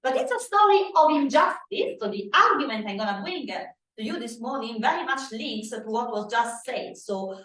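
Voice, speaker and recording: A woman with an Italian accent giving a conference keynote in a loud, animated way. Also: on the high side, normal speed, distant mic. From Italy. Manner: animated, loud, self-deprecating asides. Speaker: keynote speaker. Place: conference hall. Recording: echoey hall from afar.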